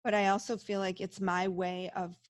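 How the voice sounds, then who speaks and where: A woman's voice: mid-range voice, level tone, moderate pace, no echo, studio quality. A middle-aged woman speaking openly and reflectively as an interview guest, often restarting her sentences. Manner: open, reflective, many restarts. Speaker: interview guest, psychologist and medium. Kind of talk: casual interview talk.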